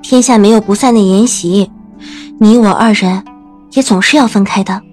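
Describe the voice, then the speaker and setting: An actress playing a gentle, affectionate young bride-to-be in a Chinese costume audio drama. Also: female voice, medium pitch, moderate pace, soft background music, lightly acted. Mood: wistful.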